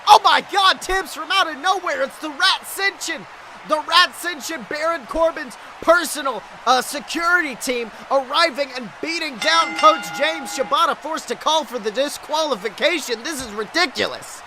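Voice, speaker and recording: A man's voice: very high-pitched, normal speed, noisy background. A man doing dramatic, exclamatory play-by-play wrestling commentary as a podcast host, with a breathless delivery.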